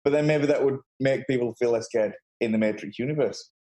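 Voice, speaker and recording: A man's voice: mid-range voice, a little fast, dark tone. A man hosting a podcast in casual chat, talking in an emphatic, opinionated way. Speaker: podcast host.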